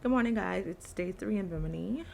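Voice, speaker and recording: Chill young woman vlogging her trip, raspy and a bit gravelly, slangy, loud music behind. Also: medium pitch, fairly quick. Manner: relaxed, chatty, slangy. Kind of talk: casual travel vlog chat.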